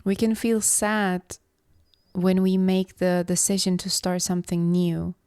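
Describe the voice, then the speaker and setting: Calm low-voiced woman podcaster. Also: smooth, no echo, clean studio sound. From Istanbul, Turkey. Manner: slow, contemplative, measured delivery. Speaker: podcast host and philosophy coach. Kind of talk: reflective solo podcast monologue.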